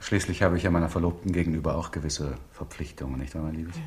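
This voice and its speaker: An actor in a 1960s radio crime drama playing an affectionate, attentive fiancé in a conversational manner. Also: male voice, rumbling low, quick pace, darkish tone.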